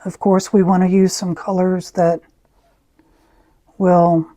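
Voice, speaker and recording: A woman with a raspy voice, who often clears her throat as she chats through an art tutorial. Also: fairly low pitch, little pitch movement, no echo. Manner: slow, soft-spoken, frequent throat clearing. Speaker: art channel host. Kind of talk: casual art tutorial chat.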